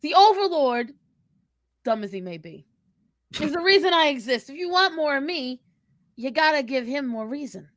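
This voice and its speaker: A woman's voice: sits high, very animated, normal speed, long pauses, a bit bright, slight background noise. A woman voicing a brash, foul-mouthed, confrontational young woman with bossy sass, bantering in character in a live Q&A. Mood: pushy, sassy. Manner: confrontational, sassy, swearing, slangy.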